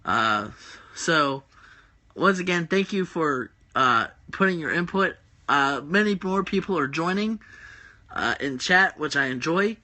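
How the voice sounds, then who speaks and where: A man's voice: on the high side, expressive, unhurried, bright, forceful tone. A man with a groggy voice hosting a casual livestream, rambling with many fillers and answering viewers' comments. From West Virginia. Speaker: host of a wrestling predictions stream.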